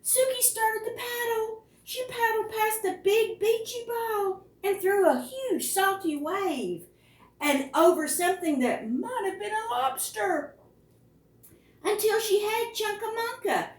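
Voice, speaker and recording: A woman reading picture books aloud to preschoolers as a storytime host, with expressive, deliberate phrasing and questions to the children. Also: very high-pitched. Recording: roomy, echoing, distant microphone.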